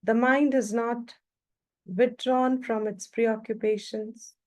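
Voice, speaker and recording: Woman, meditation teacher, somewhat high voice, very slow delivery, calm, short phrases, long pauses. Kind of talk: guided meditation instruction.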